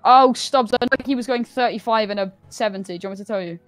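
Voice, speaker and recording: A woman's voice: fairly high pitch, quick pace. A woman chatting casually as a player in a police roleplay game. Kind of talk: casual in-game radio chat.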